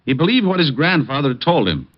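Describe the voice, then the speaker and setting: A man's voice: a little high, very animated, fast. An actor playing a dry, sardonic private detective who narrates his own case in a 1950s radio drama. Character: wisecracking, unflappable male private eye. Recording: muffled old radio broadcast.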